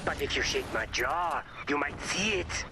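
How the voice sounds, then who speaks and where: An actor playing a morose brain creature in a video game, speaking in a deadpan, dramatic and gloomy way as he hungers for fear. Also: a little high, unhurried. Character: morose brain-creature man seeking ultimate fear, chunky and emotionless. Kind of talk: video game character voice acting. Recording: loud music under the voice.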